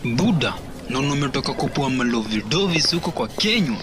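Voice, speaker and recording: A man's voice: mid-range voice, lively intonation, fast, natural voice. A man with a Kenyan accent playing a pushy, macho young co-worker in a slangy radio drama. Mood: goading. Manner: slangy, goading.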